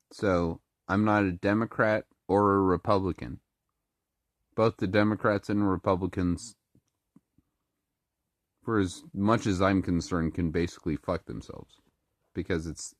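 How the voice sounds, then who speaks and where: This speaker has a very low, deep voice and has been a little sick, so the throat sounds a bit rough. They ramble to the camera in a halting way, stopping and restarting their sentences, recorded dry and close with no room sound. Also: male voice, no room sound. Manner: halting, many restarts and fillers. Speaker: vlogger. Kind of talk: casual monologue to camera.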